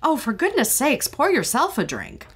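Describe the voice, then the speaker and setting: A woman's voice: sits high, huge pitch swings, moderate pace, fairly bright tone, exaggerated voice. A woman playing a greedy, cold-hearted widow in an old-time radio drama, with a haughty, imperious way of talking.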